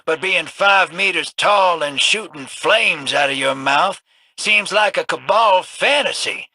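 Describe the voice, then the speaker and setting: A husky, wisecracking rogue gambler. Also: male voice, high voice, animated, a little slow, bright, forceful tone, dry recording, full character voice. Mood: sly. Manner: wisecracking, drawling, folksy slang. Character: wily, scruffy male gambler and rogue. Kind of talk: video game voice lines.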